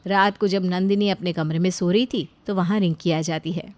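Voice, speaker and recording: A woman reading scripted narration for an animated family moral story. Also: on the low side, moderate pace, no room sound.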